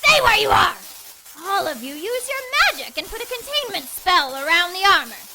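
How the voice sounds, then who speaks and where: A woman's voice: extremely high pitch, sing-song, moderate pace, gravelly, background noise, heavily acted. A woman playing a ruthless witch queen in an audio drama, imperious and gloating as she commands henchmen. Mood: imperious, urgent. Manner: imperious, gloating, shouting orders.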